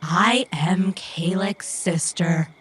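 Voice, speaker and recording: An earnest, literal-minded young female runner from a cyberpunk audio drama. She speaks slowly and a little huskily, in stilted, formal sentences without contractions. There is a childlike stubbornness in her careful, precise wording. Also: lowish voice, fairly bright tone, full character voice. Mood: stubborn, puzzled.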